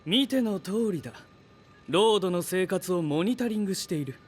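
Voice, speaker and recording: A man's voice: high voice, expressive, moderate pace, breathy edge. A man playing a haughty, logical young scientist-knight in an audio drama, lecturing formally with cool self-assurance. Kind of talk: anime-style audio drama acting.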